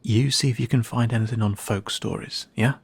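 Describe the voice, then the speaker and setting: A man's voice: on the low side, steady tone, fairly quick, gravelly, breathy edge. A man playing a wary young male cat-person guide in a fantasy audio drama, speaking casually and hesitantly straight to the listener. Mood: unsure, searching. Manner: hesitant, casual, conversational asides.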